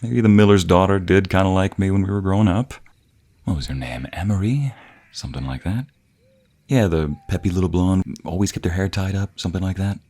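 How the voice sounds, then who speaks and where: A man's voice: sits low, brisk, mellow. A young man playing a devoted, teasing boyfriend in a romantic audio roleplay, murmuring affectionately close to the microphone. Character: playful, devoted young boyfriend, a villager with a secret. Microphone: close binaural microphone.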